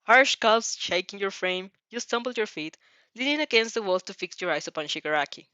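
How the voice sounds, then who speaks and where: A woman's voice: medium pitch, lively intonation, brisk, bright, forceful tone, dry recording. A woman narrating a second-person story aloud in an emotive, theatrical way. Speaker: narrator reading fan fiction aloud. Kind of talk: dramatic second-person story reading with reactions.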